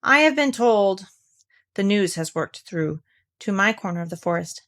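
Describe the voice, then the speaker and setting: A woman's voice: mid-range voice, expressive, moderate pace, fairly bright tone. A woman voicing a gloomy, haughty male donkey from a children's storybook, with formal, disdainful phrasing. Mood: aloof. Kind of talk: children's storybook character reading.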